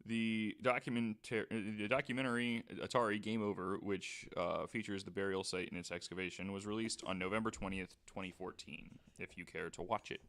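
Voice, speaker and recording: Low-voiced man reading with jokey asides. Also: steady tone, fairly quick, few pauses, fairly bright tone. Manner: reads from notes, joking asides, stumbles on names. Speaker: podcast host reading out a story. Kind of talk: casual chat, reading aloud.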